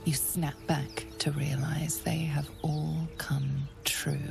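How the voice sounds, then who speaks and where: An actress playing an ominous storyteller, narrating a dark fantasy game in a slow, deliberate, hushed manner. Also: female voice, low-pitched, flat delivery, creaky, over music, heavily acted. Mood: sinister hushed. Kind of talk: dramatic second-person game narration.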